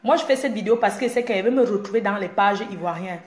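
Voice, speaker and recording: A woman's voice: medium pitch, fast talker, faint music behind. Boastful Cameroonian woman in an echoey room. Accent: Cameroonian French. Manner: boastful, confrontational, mocking. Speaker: blogger. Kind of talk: direct-to-camera rant.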